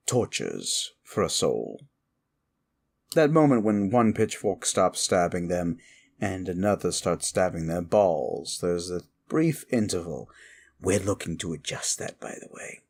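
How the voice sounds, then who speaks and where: Slow, low-voiced sardonic demon acted by a man. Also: a little mellow, full character voice. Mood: darkly amused. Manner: sardonic, teasing, unhurried musing. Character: male demon of desire, sardonic, amoral, seductive tormentor. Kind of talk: comfort ASMR roleplay acting.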